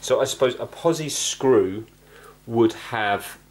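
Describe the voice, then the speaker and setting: A man's voice: mid-range voice, takes it slowly. A man hosting a hobby repair video, chatting casually and explaining as he goes, often questioning himself. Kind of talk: casual hands-on repair chat, thinking aloud. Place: indoors at a workbench.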